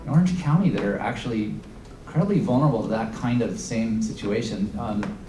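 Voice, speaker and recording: A man on a panel answering a question thoughtfully, with fillers and pauses. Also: fairly low pitch, warm tone, faint music behind. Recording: echoey hall, far from mic, noise.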